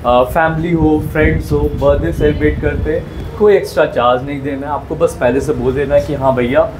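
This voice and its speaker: A man at a restaurant walks through the menu, rattling off combos and prices in an easy sales patter. His voice is high for a man. Clear restaurant chatter and clatter sit behind him. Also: steady tone, fairly quick, noisy background. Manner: salesy, listing prices and deals. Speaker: restaurant staff. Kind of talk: explaining menu and offers.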